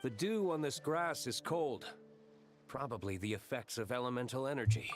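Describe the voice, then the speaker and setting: An actor playing a composed, analytical knight in a video game, speaking in a measured, formal way. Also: male voice, mid-range voice, loud music behind the voice, lightly acted. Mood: calm, thoughtful.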